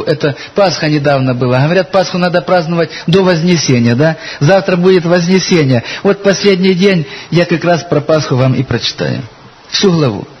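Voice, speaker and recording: A man's voice: a little high, husky. A man preaching a sermon in an earnest way, telling stories from his church and reading scripture aloud. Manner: earnest, addresses listeners as brothers and sisters. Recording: dull lo-fi recording with faint hiss.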